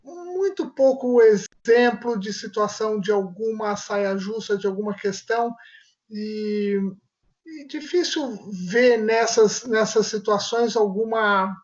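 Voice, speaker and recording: A Brazilian man, a family physician, answering interview questions in a measured way and often restarting his phrases as he looks for words. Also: high voice. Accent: Brazilian Portuguese. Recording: muffled lo-fi audio.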